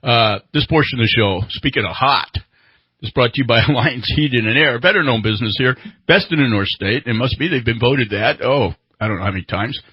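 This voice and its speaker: A man's voice: medium pitch, animated, fairly quick, slightly rough, dry recording, muffled recording. A man hosting live talk radio and reading sponsor spots conversationally, repeating words for emphasis, in a studio. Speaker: radio host. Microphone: studio microphone.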